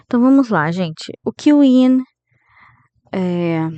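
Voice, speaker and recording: A Brazilian woman in her thirties teaching English grammar, who keeps checking in with her students and slows down to model English phrases. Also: on the high side, animated, mellow, clear, resonant tone, no echo, dull audio. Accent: Brazilian Portuguese. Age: 30s. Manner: didactic, checks in with listeners often. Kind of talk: grammar lesson.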